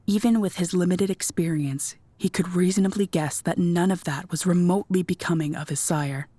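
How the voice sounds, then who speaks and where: A woman's voice: on the low side, fairly even intonation, moderate pace, dark tone, husky, no room sound. A woman reading scripted narration for an audio drama as its narrator.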